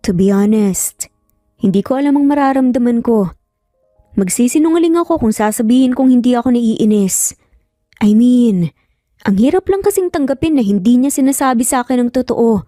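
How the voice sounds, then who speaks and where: A woman's voice: on the high side, expressive, normal speed, mellow, no room sound, studio quality, faint music behind, lightly acted. A woman voicing an earnest, emphatic young father in a narrated romance story, torn over his child. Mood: hurt, frustrated. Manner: earnest, emphatic, code-switching.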